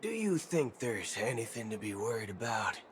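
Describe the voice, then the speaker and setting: An actor playing a brash, impatient, playful gunslinger in a video game, with a folksy, swaggering way of talking. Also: male voice, fairly low pitch, big pitch swings, moderate pace, slight background noise. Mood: sly, casual. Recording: clear music behind.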